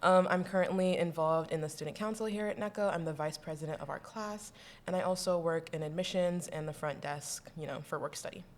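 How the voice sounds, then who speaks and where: A woman's voice: fairly low pitch, fairly even intonation, a little fast. A young woman from Southern California, a student guest on a podcast, explaining things plainly. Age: young adult. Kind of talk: casual podcast chat.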